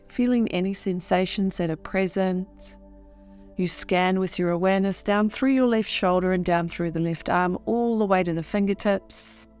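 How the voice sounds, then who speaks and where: Slow, low-voiced New woman guiding meditation. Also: smooth. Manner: soothing, unhurried, instructional, with long pauses. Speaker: meditation guide, coach. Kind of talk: guided meditation narration.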